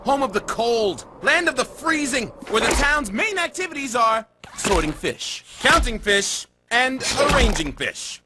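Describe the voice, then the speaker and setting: A man's voice: very high voice, big pitch swings, a little slow, very noisy, heavily acted. A man voicing a wry, chatty male penguin TV sports reporter in a game cutscene, with music behind. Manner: wry, upbeat newscaster banter. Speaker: news anchor. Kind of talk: game cutscene broadcast patter.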